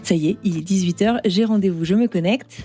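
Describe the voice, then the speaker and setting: A woman's voice: medium pitch, brisk, mellow, faint music behind. A woman hosting a podcast interview, asking curious questions in casual chat. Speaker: podcast host.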